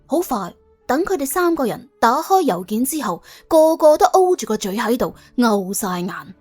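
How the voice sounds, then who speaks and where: A woman with a Cantonese accent narrating a comic crime story in a colloquial, wry, expressive way. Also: a little high, normal speed, breathy edge, faint music behind. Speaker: audiobook narrator.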